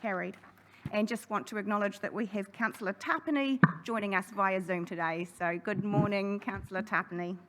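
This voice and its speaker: A woman's voice: mid-range voice, fairly even intonation. New woman chairing a council hearing. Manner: brisk, procedural, friendly. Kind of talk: formal meeting chairing. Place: council chamber.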